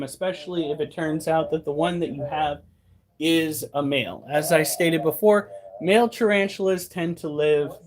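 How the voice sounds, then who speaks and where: A man's voice: on the high side, slight background noise. A man in his thirties hosting a pet channel, talking casually and bluntly, breaking into profane rants. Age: 30s. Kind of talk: casual monologue.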